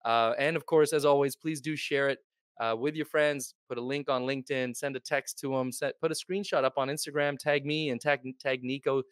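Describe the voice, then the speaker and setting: A man's voice: medium pitch, fairly even intonation, fairly quick, no echo. Earnest male podcast host, dry sound. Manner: friendly, earnest, many fillers. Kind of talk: casual interview chat.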